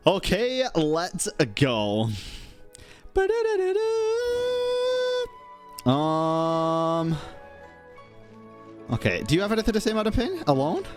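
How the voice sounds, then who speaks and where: A man's voice: high-pitched, wildly swooping pitch, slow, pauses often, faint music behind. A man doing casual, playful gaming commentary with slangy, sweary reactions. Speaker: gaming streamer.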